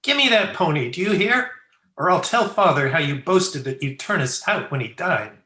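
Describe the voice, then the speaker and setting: A woman acting as a jealous, petulant boy in a radio play, with a bright, forceful voice that swings up and down. He is spiteful and accusing, heard in an echoey room. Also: low voice, expressive, moderate pace. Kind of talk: old-time radio drama acting. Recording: echoey room over video call.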